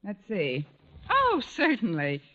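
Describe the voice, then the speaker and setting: An actress playing a wry, wisecracking English teacher with dry sarcastic quips in an old radio sitcom. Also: female voice, medium pitch, wildly swooping pitch, takes it slowly, muffled recording. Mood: wry, breezy. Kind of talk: 1950s radio sitcom acting. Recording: noisy old radio broadcast recording.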